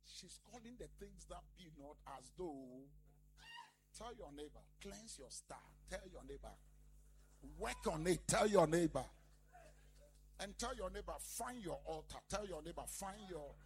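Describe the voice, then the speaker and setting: A male pastor preaches in a high, swinging voice that leaps up and down. He talks emphatically and keeps calling out to the congregation. A faint hiss of noise sits under the recording. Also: animated, a little slow. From Ghana. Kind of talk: lively sermon.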